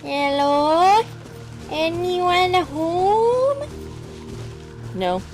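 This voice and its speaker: A woman giving casual, excitable gameplay commentary, exclaiming and talking to herself as she plays. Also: extremely high pitch, drawn-out words, soft background music. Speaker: game streamer.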